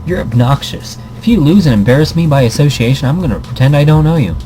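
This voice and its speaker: A man's voice: on the high side, lively intonation, quick pace, dark tone, a little hiss or hum, voice pushed up, lightly acted. A man voicing an irritable, scornful teammate in a visual novel, blunt and scolding. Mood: displeased.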